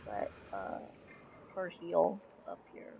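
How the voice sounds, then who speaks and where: A woman's voice: fairly low pitch, very animated, slow, creaky, warm tone, a little hiss or hum. A woman doing casual gameplay commentary as a streamer, with game music behind her.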